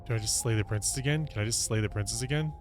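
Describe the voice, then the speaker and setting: Male let's player: amused, reactive casual commentary, rapid delivery, light game music underneath. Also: mid-range voice. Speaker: game streamer.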